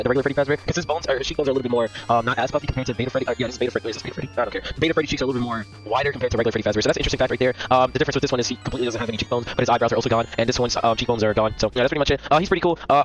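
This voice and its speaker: A man whose voice is hoarse and cracking as it recovers reels off casual commentary at a breakneck clip. He rambles, loops back over the same words and tosses in silly jokes as he reviews game mods. His pitch sits in an ordinary middle range. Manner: rambling, repeats himself, joking asides. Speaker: YouTube game mod reviewer.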